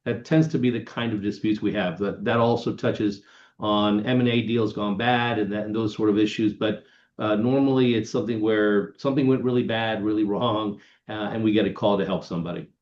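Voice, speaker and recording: A man speaking informally as a panelist on an online call, halting and breaking into his own sentences. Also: lowish voice, steady tone, darkish tone. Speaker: panelist, international disputes lawyer. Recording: dull, echoey online call audio.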